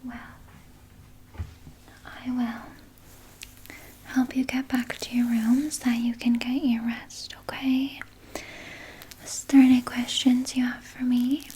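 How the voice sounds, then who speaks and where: A woman playing a soothing, evasive vampire nurse in a soft, breathy ASMR whisper, gently coaxing and sweetly sinister. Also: fairly high pitch, little pitch movement, slow pace, long pauses, slight background noise. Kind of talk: whispered ASMR personal attention roleplay.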